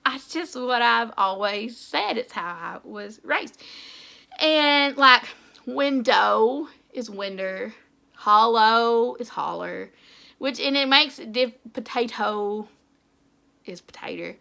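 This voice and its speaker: Gravelly-voiced Appalachian woman vlogging. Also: on the high side, animated, takes it slowly, sharp tone. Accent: Appalachian English. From Tennessee. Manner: chatty, self-conscious, laughs often. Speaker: author and book YouTuber. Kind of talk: casual vlog chat to camera.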